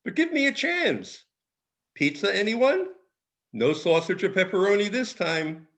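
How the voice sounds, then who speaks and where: A boastful, hammy holiday personified in amateur theater by a man. The voice sits fairly high for a man and moves slowly, pleading and joking as it sells itself. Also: sing-song, a bit bright, exaggerated voice. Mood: hammy pitching.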